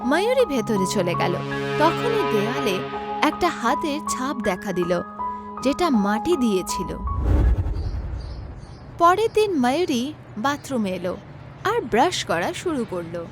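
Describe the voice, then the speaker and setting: A woman's voice: a little high, very animated, a little slow, long pauses. A woman narrating a scripted horror story as its storyteller. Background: loud background music.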